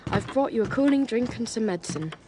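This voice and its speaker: A woman's voice: a little high, animated, fast talker, noisy background, natural voice. A woman playing a polite, anxious young boy, earnest and respectful, in a radio drama. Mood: gentle, earnest.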